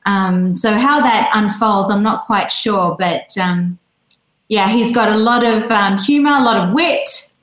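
An Australian woman in her forties speaks as a guest in a casual interview. Her voice sits at a middle pitch and trails into fillers and restarts as she searches for words. The recording has a noticeable room echo. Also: unhurried. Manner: warm, hesitant, many fillers and restarts.

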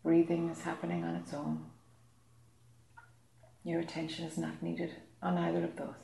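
A woman leading a guided meditation, speaking slowly and softly with long pauses. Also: on the low side, completely flat delivery, vocal fry. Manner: slow, soft-spoken, long pauses. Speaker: meditation teacher. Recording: echoey room.

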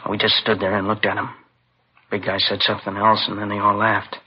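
Man playing exhausted young male witness, low monotone, halting haunted delivery, dull vintage radio drama with faint noise. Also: lightly acted. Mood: shaken, recounting. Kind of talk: 1950s radio drama acting.

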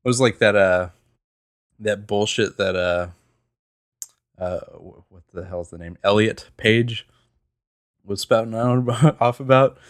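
A man's voice: on the low side, unhurried, pauses often, no room sound, clean studio sound. A man co-hosting a casual podcast, wry and crude, trailing off and hesitating as he talks. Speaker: podcast co-host.

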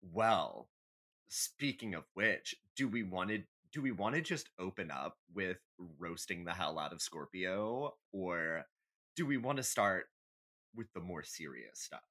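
A man's voice: low voice, lively intonation, unhurried, pushed and bright. A man co-hosting a podcast in casual banter, dryly humorous and playfully dramatic in how he tells things. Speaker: podcast co-host.

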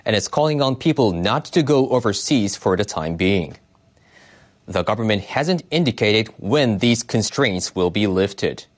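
A man's voice: fairly low pitch, lively intonation, measured pace. A man reading scripted international news as a radio newsreader.

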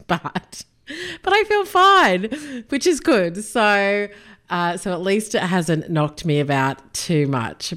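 A woman's voice: fairly low pitch, a bit bright. A woman with an Australian accent hosting her podcast in a chatty, upbeat way, addressing the listener directly, her voice a little nasal from illness. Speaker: podcast host. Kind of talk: casual solo podcast talk.

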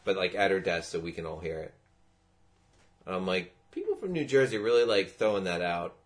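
Deep-voiced joking young man. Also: lively intonation, brisk. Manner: joking, rambling, swearing. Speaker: podcast co-host. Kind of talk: casual banter.

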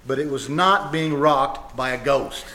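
A man's voice: medium pitch, measured pace, bright, forceful tone. A man playing an exasperated, skeptical middle-aged father in a 1950s-style radio family comedy, grumbling and sarcastic. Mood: grumbling, dismissive. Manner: grumbling, sarcastic, hesitant openers. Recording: echoey, noisy live stage recording.

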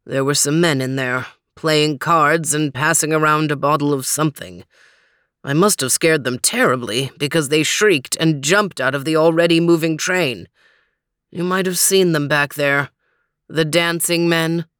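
A woman voicing a big, pompous male dog detective in a storybook, with a deep, booming voice and a wordy, leisurely manner. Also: unhurried, fairly bright tone, clear, resonant tone, no echo, studio quality. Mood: smug, droll. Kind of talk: storybook character reading.

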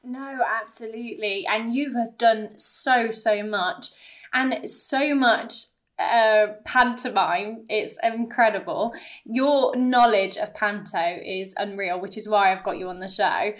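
A woman's voice: mid-range voice, slow. A woman hosting a podcast, interviewing a guest in conversational speech. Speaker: podcast host.

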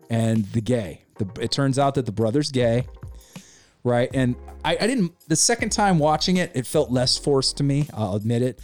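Opinionated man ranting about a movie. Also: medium pitch. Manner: opinionated, rambling, animated retelling. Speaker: podcast co-host. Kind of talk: casual movie review chat.

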